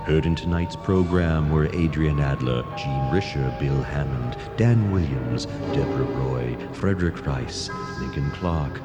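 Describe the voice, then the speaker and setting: A man's voice: extremely low pitch, measured pace, few pauses. A man announcing for a radio drama anthology in a scripted, formal sign-off style. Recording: loud music under the voice.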